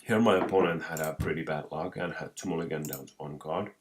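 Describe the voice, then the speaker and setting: A young man with a Finnish accent who is sick with a cold, commentating his own card game match with many pauses, restarts and throat clearing. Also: rumbling low, slight background noise. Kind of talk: casual gameplay commentary.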